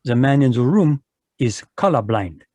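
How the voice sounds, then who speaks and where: A man's voice: medium pitch, lively intonation, normal speed, a little mellow, dry recording, natural voice. A man voicing a smug Belgian detective with a put-on French accent in an old-time radio play, pompous and polite. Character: fussy middle-aged Belgian male detective, smug. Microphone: video call microphone.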